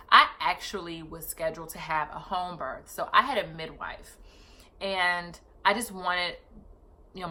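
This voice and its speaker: A woman's voice: fairly low pitch, pushed and bright. A woman in her thirties telling a personal story on her vlog, chatty and casual, often correcting herself mid-sentence. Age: 30s. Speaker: vlogger.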